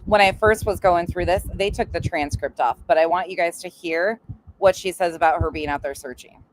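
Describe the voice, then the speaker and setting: A woman's voice: on the low side, a little fast, slight background noise, rough recording. A woman giving casual livestream reaction commentary in a blunt, sarcastic, sweary way. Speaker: live stream commentator.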